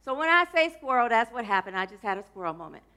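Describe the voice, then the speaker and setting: A woman's voice: fairly high pitch, big pitch swings, fast, sharp tone. Playful woman minister, scratchy voice. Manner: rambling, playful, drifts off topic mid-sentence. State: scratchy, throat-clearing voice. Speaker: minister of a church. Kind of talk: casual sermon to a congregation.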